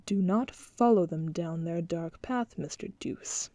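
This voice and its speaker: A woman voicing a menacing male crime boss who talks slowly and quietly threatens. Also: lowish voice, no room sound, close to an everyday voice. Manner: slow, measured, quietly threatening.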